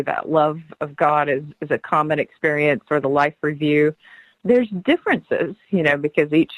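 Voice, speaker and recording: A woman's voice: sits low, no echo, narrow, tinny audio. A middle-aged woman guest on a radio interview, speaking earnestly and laughing often as she tells her stories.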